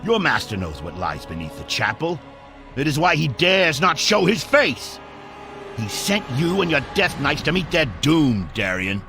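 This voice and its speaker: A man's voice: a little high, very animated, a little slow, gravelly, sharp tone, faint music behind, heavily acted. A man playing a stern, scornful old paladin who scolds in a proud, declamatory way. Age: older.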